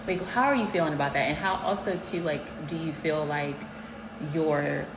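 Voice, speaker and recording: A woman's voice: deep voice, normal speed. A woman hosting a podcast, asking rambling questions full of fillers and teasing her husband. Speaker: podcast host, wife. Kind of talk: casual interview chat. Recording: echoey room with noticeable background noise.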